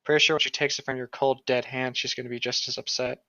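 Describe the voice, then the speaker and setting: A young man bantering casually and reading aloud with deadpan sarcasm and free swearing. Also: mid-range voice, fast talker, no echo, highs cut off. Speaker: co-host reading and mocking a book.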